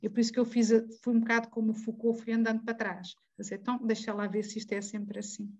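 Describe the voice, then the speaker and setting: A woman's voice: fairly high pitch, flat delivery, fast talker, a little mellow, muffled recording. A woman with a Mozambican Portuguese accent, speaking as a guest in an academic debate, digressing and correcting herself as she goes. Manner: digressive, self-correcting, asks rhetorical questions. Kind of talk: conversational academic talk.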